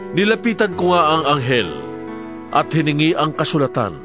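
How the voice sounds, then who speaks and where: Man as an animated, high-voiced prophet narrator. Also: normal speed, over music, lightly acted. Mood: awed, solemn. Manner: declamatory, earnest. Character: awestruck male prophet recounting his vision. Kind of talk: dramatised scripture narration.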